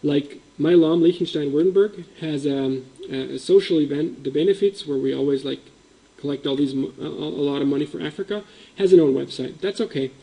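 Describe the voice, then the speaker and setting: Male presenter, fairly high, mellow voice, explaining a system with ums and likes. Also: brisk, vocal fry, low-quality recording. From Indonesia. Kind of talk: conference presentation. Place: meeting room.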